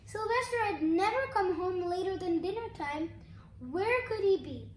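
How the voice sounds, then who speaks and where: A child reading a storybook aloud in a careful, deliberate way. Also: very high-pitched, expressive. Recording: echoey room, faint background noise.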